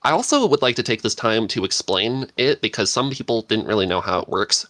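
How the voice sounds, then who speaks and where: A man chatting casually as a podcast panelist, excitable and self-deprecating in manner. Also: fairly low pitch, a little fast, no echo.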